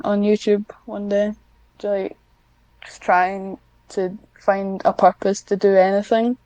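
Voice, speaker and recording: A woman's voice: medium pitch, a little mellow, clear, resonant tone, dry recording, highs cut off. A woman chatting casually as an interview guest, informal and self-deprecating. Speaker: podcast guest, amateur script writer.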